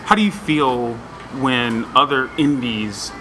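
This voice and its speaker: A man hosting a casual interview, asking questions in a halting, loosely phrased way. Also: mid-range voice. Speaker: interviewer, video show host. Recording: clearly noisy background hum.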